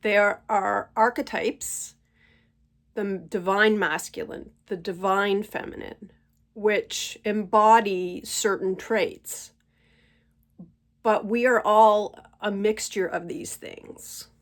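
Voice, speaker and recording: Slow-spoken woman, stuffy from a cold. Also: medium pitch, steady tone. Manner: gentle, unhurried, thoughtful pauses. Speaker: channel host. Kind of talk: slow reflective spiritual monologue. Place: at home.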